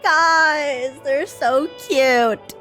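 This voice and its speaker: A woman's voice: high voice, extremely slow, bright, forceful tone. A woman streamer playing a video game, reacting casually and reading game text aloud. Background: faint game music.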